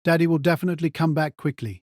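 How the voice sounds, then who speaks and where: A man plays a tender father in an audio drama, with a fairly high voice and a close, dry sound. He speaks gently and reassuringly, as if to a small child. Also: fast talker, dry recording, lightly acted. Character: tender, burdened father talking to his little daughter.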